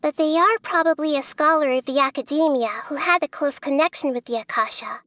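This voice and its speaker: A high, youthful voice, recorded dry and close. It is a small, wise deity of dreams in a video game. She explains things patiently and precisely, with gentle composure. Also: no echo. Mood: calm, explaining. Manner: measured, explanatory, articulate. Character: wise gentle young girl deity of dreams, calm and thoughtful.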